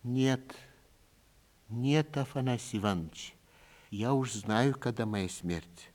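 A man voicing a gentle, resigned old woman who speaks quietly and earnestly in a literary story. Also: medium pitch, slow pace, lightly acted. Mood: tender, sorrowful. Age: older.

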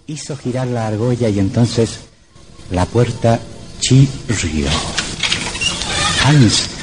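A man's voice: mid-range voice, slow, loud music behind the voice. A man narrating a scripted old radio horror drama in a dramatic, suspenseful manner.

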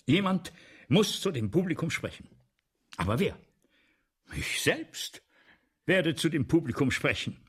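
An actor in a German radio play playing an anguished, fearful French playwright, theatrical and husky, swinging from hushed weariness to declamation. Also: male voice, fairly high pitch, big pitch swings, unhurried, pauses often, exaggerated voice. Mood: agitated, declaiming. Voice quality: husky, hoarse, gravelly.

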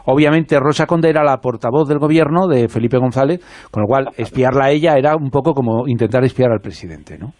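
A man's voice: medium pitch, a little fast, a little mellow, no echo. A male radio host in the studio setting out background facts to his guest before a question.

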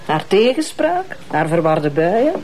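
A woman's voice: on the low side, sing-song, slow, a little mellow, slight background noise. A woman with a Flemish accent playing an outspoken, chatty police detective in a crime drama. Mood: insistent. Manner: chatty, forthright. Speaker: actor.

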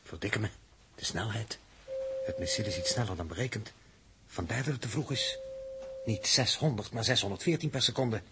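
A man's voice: medium pitch, wildly swooping pitch, normal speed, long pauses. An actor with a Netherlands Dutch accent playing a precise, slightly touchy spaceship navigator in a 1960s radio drama, measuring out his figures. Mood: concentrated. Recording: noisy 1960s radio recording, clicks.

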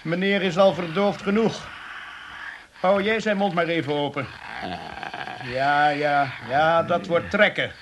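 An actor playing a brusque, sarcastic dentist in a comic radio play, mock-polite and commanding. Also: male voice, a little high, steady tone, a little slow, long pauses, background noise, natural voice. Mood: stern, sardonic.

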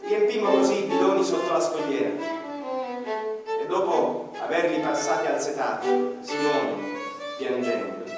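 A man narrating a radio play as a theatrical monologue, declamatory, bitter and mournful, with sharp swings in loudness. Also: a little high, measured pace, very echoey, distant mic. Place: theatre stage. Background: violin music.

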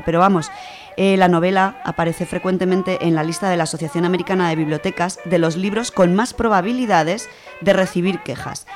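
A person with an accent from Spain giving an informal, colloquial book review on the radio, explaining things in a conversational way. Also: medium pitch. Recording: music under the voice. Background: music.

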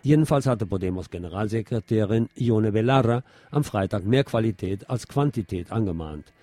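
A man's voice: fairly low pitch, lively intonation, moderate pace, warm tone, no echo. A man reading scripted news as a radio presenter.

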